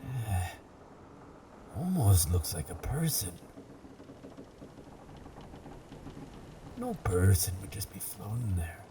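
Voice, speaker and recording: A man playing a gruff, curious werewolf pirate captain in an audio roleplay, with a low gravelly voice, a stage pirate accent and slow, musing seafaring talk. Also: sing-song, noisy background. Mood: curious, puzzled.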